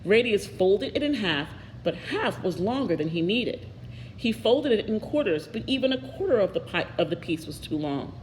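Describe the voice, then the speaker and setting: A woman's voice: mid-range voice, big pitch swings, normal speed. A woman reading a picture book aloud expressively, with little asides to her listeners. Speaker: storybook reader. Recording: echoey reverberant room, faint noise.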